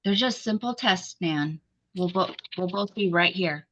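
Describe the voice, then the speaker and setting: A woman's voice: lowish voice, unhurried, a bit bright, lo-fi sound. A woman playing a worn, anxious, protective mother in a horror script table read. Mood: worried, subdued.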